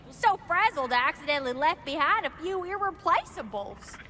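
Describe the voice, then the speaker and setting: A woman's voice: sits high, very animated, fairly quick, sharp tone, a little hiss or hum, full character voice. A woman playing a chatty, sweet, eccentric tinkerer in a video game, with a Southern American accent. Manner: chatty, polite, colourful. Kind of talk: video game character acting.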